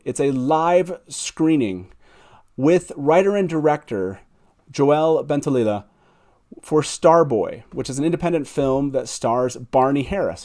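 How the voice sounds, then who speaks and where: Male host for a live fan call-in show. Medium pitch, casual and keen, leans on his words. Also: warm tone. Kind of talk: casual show hosting, introducing guests.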